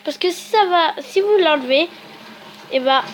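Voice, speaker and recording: A woman's voice: very high-pitched, lively intonation, normal speed, a little hiss or hum. A woman with a cold and a sore throat giving a chatty, rambling how-to tutorial as a YouTuber, often correcting herself.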